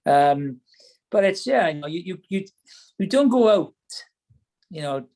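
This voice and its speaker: This is a middle-aged man with a Welsh accent and a voice pitched a little high. He speaks as a podcast guest in relaxed, reflective chat. He often hesitates and restarts mid-sentence. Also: unhurried. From Wales.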